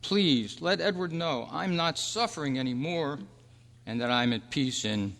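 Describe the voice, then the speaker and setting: A man acting in a staged radio drama, with earnest, measured storytelling. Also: fairly high pitch, animated, vocal fry, fairly bright tone, exaggerated voice. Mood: wistful, earnest. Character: Roy, dead thirty-three-year-old man's wistful, peaceful spirit. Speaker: actor and announcer. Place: live club meeting in a hall. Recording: echoey hall with long reverb.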